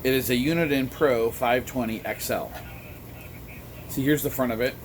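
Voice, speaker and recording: A man giving an informal, halting explanation to camera as a hobbyist radio vlogger. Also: medium pitch. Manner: halting, explanatory, apologetic asides. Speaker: amateur radio operator and vlogger. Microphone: phone front camera. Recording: noisy phone recording with background radio. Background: two-way radio sounding in background.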